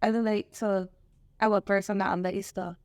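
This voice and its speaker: A woman, speaking as a guest in a halting, soft-spoken way. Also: mid-range voice, level tone, no room sound, clean studio sound. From Barbados. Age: 20s. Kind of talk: casual chat.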